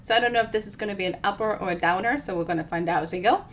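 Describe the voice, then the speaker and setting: A woman's voice: medium pitch, very fast, a bit bright, slight background noise. A woman from the Dominican Republic chats casually and playfully as an author hosting a livestream storytime, in a bubbly, informal way. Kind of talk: casual chatty livestream talk.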